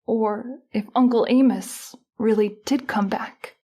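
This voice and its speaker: An anxious, easily frightened woman played by an actress with a high voice. She speaks in the dramatic manner of an old-time radio horror mystery. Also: fairly even intonation, slow, mellow, breathy edge. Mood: shaken, wondering.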